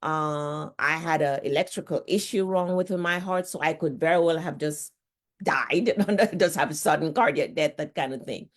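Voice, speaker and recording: A low-pitched middle-aged woman with a Jamaican accent talks in a relaxed, conversational way. She often repeats words as she goes, like a nurse explaining things to a friend. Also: normal speed. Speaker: nurse and author, guest. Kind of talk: casual conversational talk.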